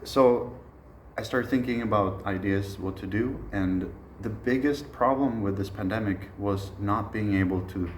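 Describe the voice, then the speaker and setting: A low-voiced man in his thirties, originally from Sweden, talks through a masterclass in a reflective, unhurried way, pausing often. The room has a noticeable echo, with faint hiss underneath. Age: 30s. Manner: relaxed, reflective, frequent "uh" pauses. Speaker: musician teaching a masterclass. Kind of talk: informal spoken lecture.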